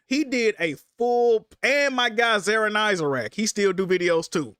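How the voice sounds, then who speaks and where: A man's voice: high-pitched, normal speed, a bit bright, clear, resonant tone, no room sound, studio quality. A man hosting a casual livestream, talking in loose slangy banter and swearing often, sounding congested. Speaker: streamer host.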